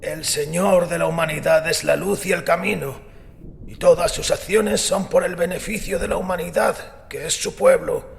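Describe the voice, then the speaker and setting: An actor playing a frail old priest, devout and defiant, with a gravelly, solemn delivery in audio fiction. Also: male voice, sits high. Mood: solemn prayer. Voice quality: creaky, gravelly. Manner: solemn, deliberate, resolute. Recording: long echoing hall reverb, music underneath.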